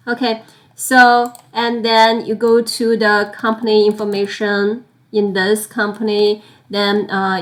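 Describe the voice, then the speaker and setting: A woman teaching software in an unscripted screen-share tutorial, explaining step by step, often repeating and rephrasing herself. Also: medium pitch. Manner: halting, repeats and rephrases phrases as she explains. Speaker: software instructor.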